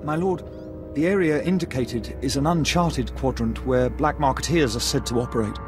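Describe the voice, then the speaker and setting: An actor playing a deferential young-ranking Imperial officer in a video game, formal and respectful. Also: male voice, medium pitch, moderate pace, music in the background, natural voice. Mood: dutiful. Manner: formal, respectful, crisp military address. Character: deferential, eager male Imperial junior officer.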